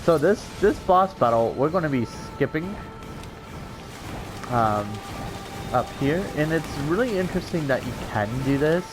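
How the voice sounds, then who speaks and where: A man's voice: on the high side, animated, measured pace, pauses often. A man giving casual let's play commentary in a hesitant, rambling way. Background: game music.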